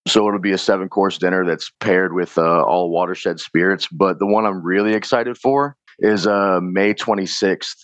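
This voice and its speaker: A man with a slightly hoarse, raspy voice, a chef from Chicago talking in a laid-back, slangy way with casual swearing. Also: low-pitched, steady tone, normal speed, hardly any pauses, fairly bright tone, dry recording. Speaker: chef guest. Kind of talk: casual interview chat. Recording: dull, lo-fi podcast mic.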